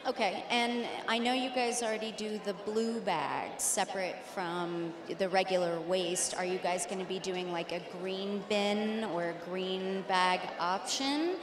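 A woman's voice: mid-range voice, measured pace, few pauses. A young woman interviewing guests at an indoor expo with a handheld mic, asking brief upbeat questions. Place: indoor expo hall before a backdrop. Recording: echoey hall with crowd noise.

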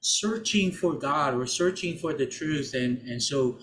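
A man's voice: a little high, lively intonation, measured pace, a bit bright, slight background noise, rough recording. A man in his thirties from American Samoa, hosting a podcast in casual chat, talking loosely with many restarts and fillers. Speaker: podcast host. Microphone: desk microphone.

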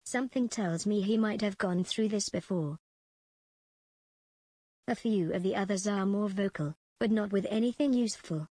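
A woman's voice: mid-range voice, moderate pace, warm tone, no room sound, close to an everyday voice. An actress playing a ruthless female prison commandant in a space empire, dictating clipped official log entries for a video game. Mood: cold, smug.

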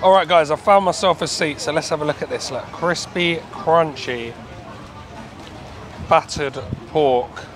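Chatty food vlogger, a man in his thirties, slightly high voice, talking to camera outdoors with faint street noise around. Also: a little slow, a bit bright. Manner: chatty, enthusiastic, addresses viewers as guys. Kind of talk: casual street food vlog. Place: outdoor night market. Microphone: handheld camera at arm's length.

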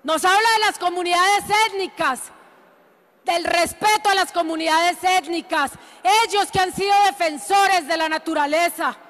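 A woman, delivering a forceful, indignant political speech in a parliament chamber. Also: very high-pitched, in a large hall. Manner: forceful, indignant, emphatic oratory. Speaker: congresswoman. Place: large chamber of parliament, indoors.

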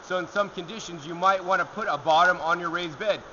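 A young man hosting a gardening show, answering viewer questions to camera outdoors in a rock alcove, energetic and gesturing as he talks. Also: sits high, a little fast, highs cut off, a little hiss or hum. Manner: energetic, talks with his hands.

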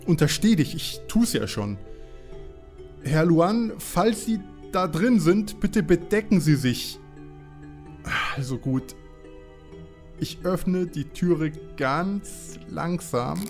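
A man acts out a nervous young detective sidekick in a parody radio play. The voice is slightly high and swings widely, excitable and protesting. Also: takes it slowly, pauses often, soft background music. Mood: nervous, reluctant. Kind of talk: parody detective audio drama acting.

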